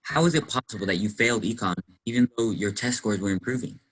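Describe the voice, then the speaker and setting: A man's voice: on the low side, quick pace, fairly bright tone, poor audio. A young man acting in a play as an earnest, inquisitive teenage boy who likes to play detective. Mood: probing, serious. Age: young adult. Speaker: student actor in a school play. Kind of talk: one-act play acting.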